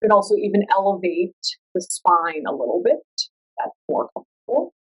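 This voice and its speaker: A woman guiding a meditation in a calm, soothing, unhurried way, leaving long pauses between instructions. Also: mid-range voice, slightly rough, muffled recording. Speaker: yoga nidra meditation guide. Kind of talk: guided meditation.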